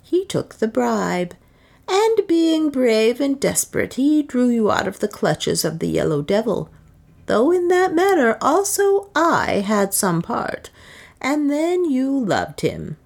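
A woman's voice: a little high, huge pitch swings, slow pace, gravelly, a little mellow, voice pushed up, heavily acted. A woman voicing a proud, bitter serving woman in an audiobook, with solemn, archaic, declamatory phrasing. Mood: bitter, reproachful.

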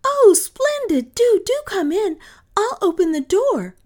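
Woman voicing an excitable, high-pitched little storybook girl. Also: unhurried. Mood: delighted, excited. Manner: exclaiming, gushing. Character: eager little girl, sweet, excitable, soon crestfallen.

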